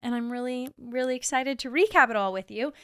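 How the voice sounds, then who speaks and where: A woman's voice: high voice, a little fast, smooth, no room sound, studio quality. A woman in her twenties hosting a podcast on her own, chatting in a gushing, casual way and saying "like" a lot. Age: 20s. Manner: gushing, chatty, heavy use of "like". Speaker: podcast host. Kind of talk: casual solo podcast chat.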